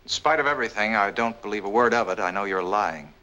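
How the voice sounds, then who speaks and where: A blunt, suspicious young in a 1940s crime picture. His voice is fairly low but tense and forceful. He pushes and challenges in clipped, insistent phrases, captured on an old film soundtrack. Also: fast talker, muffled recording, a little hiss or hum. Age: young adult. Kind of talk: 1940s film noir acting.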